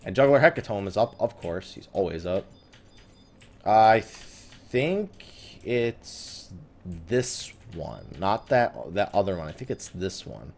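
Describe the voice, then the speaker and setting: A man's voice: deep voice, sing-song, slow pace, gravelly. A man giving casual let's play commentary on a video game, swearing and griping with sarcasm. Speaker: host of a gaming let's play series.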